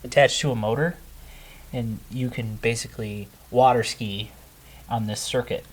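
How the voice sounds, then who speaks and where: A man's voice: medium pitch, steady tone, moderate pace, low-quality recording. A man speaking casually in a group chat.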